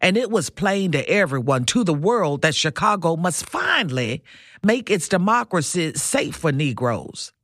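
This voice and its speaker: A woman's voice: fairly low pitch, big pitch swings, unhurried, a bit bright, dry recording, clean studio sound, full character voice. Commanding, indignant activist woman. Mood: grave, indignant. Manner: insistent, declamatory, interrogating. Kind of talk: radio drama acting.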